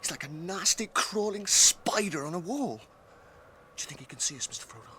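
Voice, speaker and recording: A man's voice: high voice, very animated, a little fast, bright, forceful tone, slight background noise, exaggerated voice. A man acting a loyal, plain-spoken, fretful hobbit servant in a radio drama, with a blunt manner. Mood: wary, indignant. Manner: rustic, blunt, self-scolding.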